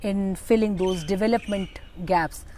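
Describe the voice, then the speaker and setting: A woman's voice: medium pitch, unhurried, warm tone. A woman with a Pakistani accent working as an interviewer, posing long questions and echoing key words. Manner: echoes and affirms the guest's words. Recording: faint background noise with birdsong. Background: chirping birds.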